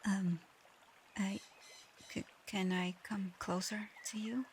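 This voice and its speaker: Slow, soft-spoken dragon girl played by a woman. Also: medium pitch, steady tone, slight background noise, natural voice. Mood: flustered, shy. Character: proud, conflicted dragon girl in human form, tsundere. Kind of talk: ASMR audio roleplay.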